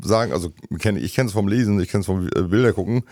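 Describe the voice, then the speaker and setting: Low, dark-toned male co-host, rapid and restless delivery. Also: no room sound, clean studio sound. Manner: rapid, restarts sentences often. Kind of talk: casual discussion.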